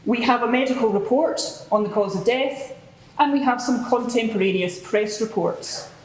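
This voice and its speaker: A woman playing a formal, orderly court clerk who briefs the public in a measured, official way. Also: fairly high pitch, darkish tone, natural voice. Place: large courtroom with audience. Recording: echoey hall, faint background noise.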